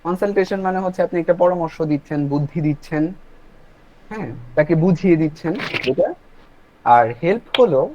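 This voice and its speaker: A man's voice: on the high side, darkish tone. A man hosting an online webinar, explaining things instructively and addressing listeners directly, in lo-fi call audio. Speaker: webinar host and presenter. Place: online video meeting.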